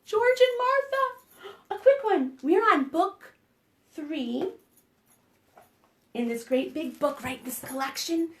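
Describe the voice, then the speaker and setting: Woman, children's storytime host, very lively intonation, slow delivery, expressive, coaxing read-aloud. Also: very high voice. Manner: expressive, coaxing, asks listeners questions, theatrical gasps. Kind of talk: animated read-aloud for preschoolers.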